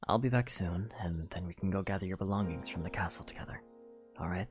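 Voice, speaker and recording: A man voicing a gentle young knight, speaking softly and hesitantly in a fan audio drama. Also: low-pitched, fast talker, over music, close to an everyday voice. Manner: soft, hesitant, apologetic.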